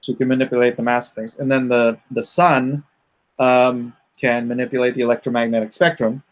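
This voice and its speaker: A man in a casual unscripted chat, explaining his creative project in a halting way as he searches for words. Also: medium pitch, level tone.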